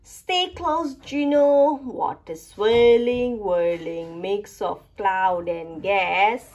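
A woman voicing an excitable young child exploring space in a picture book, with playful, exclamatory wonder. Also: mid-range voice, drawn-out words. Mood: amazed.

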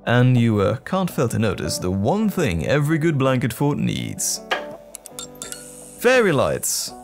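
A man's voice: medium pitch, huge pitch swings, measured pace, vocal fry, music in the background, heavily acted. A man playing a loving, attentive boyfriend in a roleplay audio drama, tender and reassuring with playful pet names. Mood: playful, affectionate. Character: loving, attentive boyfriend comforting his troubled partner.

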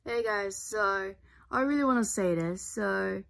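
A young woman talks straight to camera from her bedroom, her voice sitting low. She rambles earnestly and circles back over her own points, recorded up close on a device. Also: unhurried, vocal fry, a bit bright. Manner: rambling, repeats herself, earnest. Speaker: vlogger. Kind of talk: casual talk to camera. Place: bedroom, indoors. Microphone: device camera at close range.

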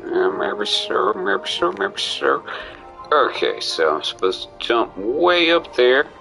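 A man's voice: medium pitch, huge pitch swings, slow pace, creaky, over music. A man streaming a game with casual commentary, musing aloud and breaking into playful theatrical outbursts. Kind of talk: casual live gameplay commentary.

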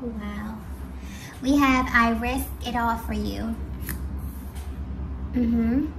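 A woman giving an intuitive tarot reading straight to the listener, earnest, in halting phrases with frequent check-ins. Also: fairly high pitch, steady tone. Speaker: tarot reader. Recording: roomy, distant mic, clear background noise.

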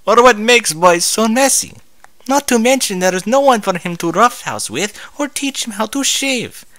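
A man's voice: high voice, lively intonation, moderate pace, sharp tone, no room sound, voice pushed up. A man voicing a refined, fretful female pony, an anxious new mother in a story, fussy and dramatic. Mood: fretting.

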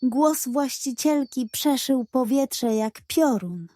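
A woman storyteller with a fairly high, mellow voice reads a children's bedtime tale. Her phrasing is slow, deliberate and expressive, in a close, dry recording. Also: dry recording.

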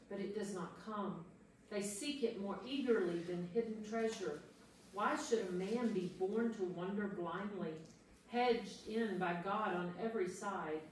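A woman's voice: medium pitch, lightly acted. A woman playing an anguished, despairing sufferer who cries out against God in a solemn dramatic scripture reading. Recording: echoey room from far off, faint noise.

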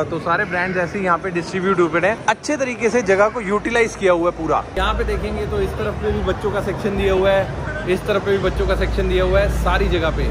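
A man's voice: high-pitched, noisy background. A young man, chatting casually through a mall tour vlog with a phone held at arm's length. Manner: chatty, showing things to camera as he walks. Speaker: vlogger. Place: large indoor shopping mall.

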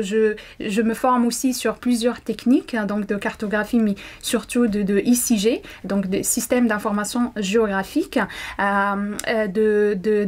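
A young woman with a slightly high voice, a native Arabic speaker, answers interview questions as a doctoral student. She talks with frequent fillers, restarts and small pauses while she searches for words. She is seated indoors, close to the mic. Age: young adult. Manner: hesitant, many fillers and restarts. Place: indoor room.

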